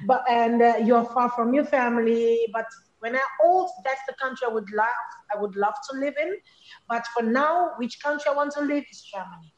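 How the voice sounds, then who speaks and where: A young woman from Congo whose first language is Lingala talks in a relaxed interview, sometimes stumbling and repeating herself. Her voice sits a little high. The room around her is echoey. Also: highs cut off. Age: young adult. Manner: halting, repeats words while searching for phrasing. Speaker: interview guest, singer. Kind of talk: casual interview conversation.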